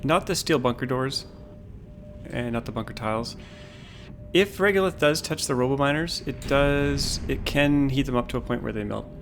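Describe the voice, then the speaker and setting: A man livestreams his game and talks it through in a calm, low-key way. He thinks aloud and often restarts his sentences. Faint music plays behind him. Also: moderate pace, vocal fry. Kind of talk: casual gameplay commentary.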